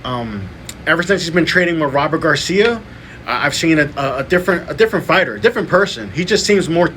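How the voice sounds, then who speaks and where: A man's voice: on the high side, expressive, fast talker, bright, forceful tone, a little hiss or hum. A man hosting a podcast, chatting casually as he runs through fight lineups and picks. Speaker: podcast host. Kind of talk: casual boxing podcast talk.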